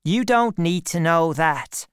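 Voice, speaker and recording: A woman's voice: on the low side, lively intonation, takes it slowly, clean tone, no room sound, studio quality, voice pushed up. A woman voicing a confident, cunning boy in a storybook, speaking in an assured, commanding way. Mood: coolly threatening.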